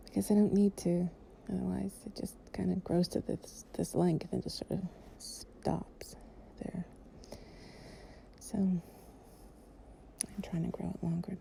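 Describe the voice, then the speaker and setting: A woman speaks softly and breathily, often slipping toward a whisper, with a mellow tone and plenty of vocal fry. She chats to the listener like a close companion, playful and drowsy, over faint background noise. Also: lowish voice. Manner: playful, sleepy, confiding. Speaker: audio roleplay performer playing a loving companion. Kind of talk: improvised intimate relaxation roleplay, casual chat to the listener.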